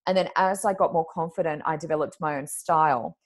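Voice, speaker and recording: A woman with a naturally deep voice, talking in a relaxed, unhurried way. Her pitch sits very low, and her speech is loose and conversational, with little pauses and fillers as she thinks aloud. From Australia. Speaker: podcast co-host and card reader. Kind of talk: casual conversational chat.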